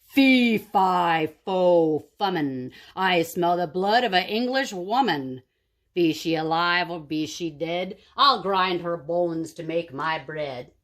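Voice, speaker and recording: Lady doing a big greedy mean giant for story time, booming and gloating. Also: takes it slowly. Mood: menacing. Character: monstrous male giant, menacing, greedy, gloating.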